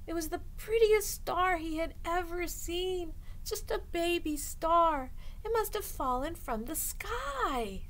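A middle-aged woman with a high voice reads a children's storybook expressively. She lingers on phrase endings for young listeners. Clear background noise sits behind her, as on a webcam recording in a small room. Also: expressive, takes it slowly, few pauses, a bit bright. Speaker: storytime host reading a picture book to children. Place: small indoor room lined with bookshelves.

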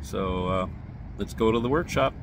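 An older man in his sixties giving a relaxed, rambling beer-garden tour, filmed on a handheld phone outdoors. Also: lowish voice, normal speed, a little hiss or hum. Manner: relaxed, rambling, many fillers. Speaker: beer review vlogger. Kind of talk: casual vlog walk-through. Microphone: phone camera at arm's length.

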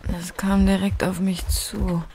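An actress playing a dazed, dying young woman in an audio drama, speaking in a halting, hushed monologue. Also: mid-range voice, flat delivery, gravelly, slight background noise. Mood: groping for memory. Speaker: lead actor and inner-monologue narrator.

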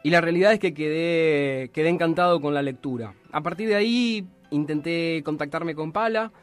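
A middle-aged man with an Argentine accent hosting a radio interview in a small studio, talking at an unhurried pace with frequent restarts. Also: fairly high pitch, faint music behind. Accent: Argentine Spanish. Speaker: radio host. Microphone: studio mic with headphones.

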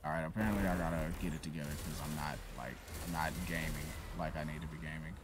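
A man's voice: rumbling low, fairly even intonation, fast talker. A man giving casual, profane gameplay commentary, griping in exasperation as he plays a shooter. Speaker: gaming video creator playing a shooter. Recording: clear game noise behind the voice. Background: shooter game sounds.

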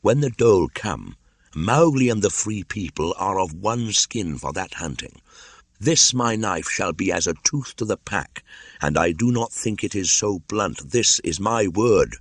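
Bold young jungle boy, played by a man, lifted pitch, lively intonation, earnest, declamatory, storybook hero. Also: unhurried, no echo, exaggerated voice. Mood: proud resolve.

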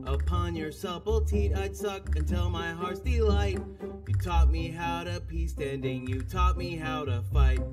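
An actor playing a childish, bratty robot bunny in a game, whiny and theatrical. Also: male voice, a little high, measured pace, over loud music, natural voice. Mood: sentimental singing. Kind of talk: indie game cutscene acting.

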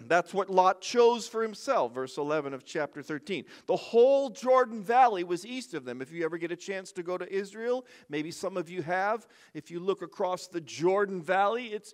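Lively older pastor preaching. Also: male voice, sits high. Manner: conversational, joking asides. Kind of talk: sermon. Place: church stage with lectern.

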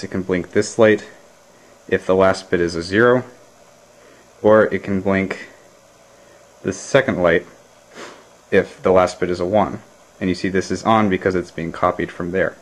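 A man casually narrating a show-and-tell of his homebuilt computer, hesitant, with many fillers and throat clearing, recorded on a handheld camera at a workbench. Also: sits low, low-quality recording. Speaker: hobbyist vlogger.

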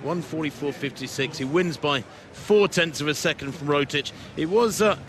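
A man's voice: on the high side, normal speed, crowd noise. A man giving conversational expert analysis during an athletics broadcast. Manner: conversational, explains tactics and splits. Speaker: expert co-commentator. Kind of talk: analytical race commentary. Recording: broadcast commentary over loud stadium noise.

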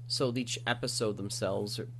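A man's voice: fairly low pitch, steady tone, moderate pace, creaky, background noise. A man hosting an interview podcast, asking casual questions in a conversational, inquisitive way.

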